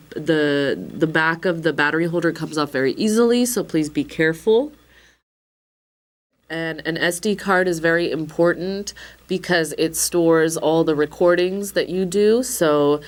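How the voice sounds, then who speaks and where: Slightly hoarse woman with a lowish voice, informal how-to demo presenter. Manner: chatty and informal, with many fillers and wry asides. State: a little hoarse. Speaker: instructor demonstrating a recorder. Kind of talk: casual product demo.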